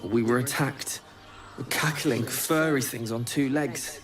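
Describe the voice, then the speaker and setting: A man voicing a slow solemn game warrior. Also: medium pitch, over music, lightly acted.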